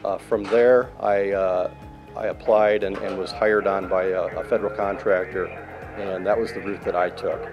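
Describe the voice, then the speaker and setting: A man in his fifties, an air traffic control manager, answering interview questions in a control tower in a plain, measured way with many uh's. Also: low voice, little pitch movement, dull audio, music in the background. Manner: plain, measured, fills pauses with uh and um. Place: control tower cab, indoors, large windows. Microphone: handheld mic held by interviewer.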